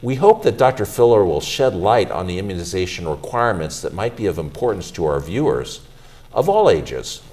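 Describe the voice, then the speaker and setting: A middle-aged man, a physician, hosting a health show, presenting in a measured, formal way and interviewing a guest. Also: deep voice, very animated, darkish tone.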